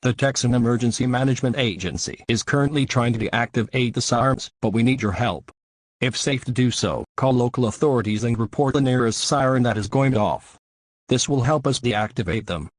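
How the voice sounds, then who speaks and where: A man's voice: medium pitch, no echo, close to an everyday voice. A man playing a stern, official announcer reading a scripted government emergency alert.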